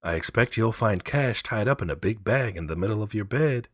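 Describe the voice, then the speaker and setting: A man's voice: lowish voice, lively intonation, brisk, dry recording, studio quality, voice pushed up. A man voicing a frivolous, mischievous grown son in a dramatic storybook reading.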